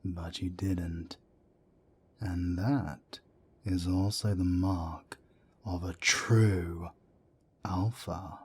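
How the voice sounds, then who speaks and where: A man playing a wry, world-weary werewolf council elder in an audio roleplay drama, speaking in a measured, deliberate way. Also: steady tone, a little mellow, slight background noise, full character voice. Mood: grave, instructive. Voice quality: deep, husky.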